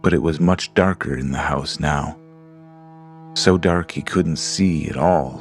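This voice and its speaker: A man narrating a scripted horror story in a measured, ominous way. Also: a bass voice, vocal fry. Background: creepy music.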